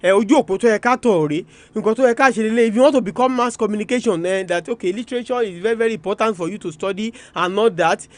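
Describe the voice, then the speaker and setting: A man's voice: sits high, very fast, hardly any pauses. A man with a Nigerian Yoruba accent hosting an educational radio talk, speaking emphatically to listeners and repeating his points. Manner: emphatic, repetitive, addresses listeners directly. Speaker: radio programme host. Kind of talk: live educational radio talk, switching between English and Yoruba.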